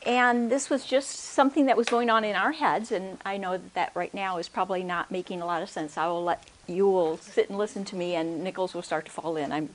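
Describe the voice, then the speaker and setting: A soft-spoken woman giving a conference workshop talk into a microphone, hesitant, with many restarts and check-ins with the audience. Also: medium pitch, fairly even intonation, a little fast, few pauses, slight background noise. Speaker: workshop speaker. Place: conference room. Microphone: handheld or stand microphone.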